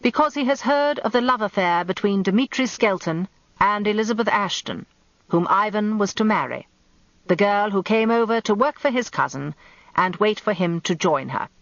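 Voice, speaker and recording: A woman voicing a shrewd, theatrical old male barrister in measured courtroom oratory with dramatic pauses, for radio drama. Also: medium pitch, animated, no room sound, dull audio, natural voice. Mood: dramatic, building.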